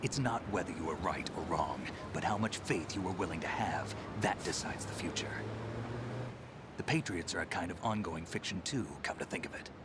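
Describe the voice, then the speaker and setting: An actor playing a grizzled veteran male soldier, speaking as a calm mentor in a low, half-whispered murmur, slow and reflective. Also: heavy background noise, lightly acted. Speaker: video game character. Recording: game cutscene with loud music under the voice.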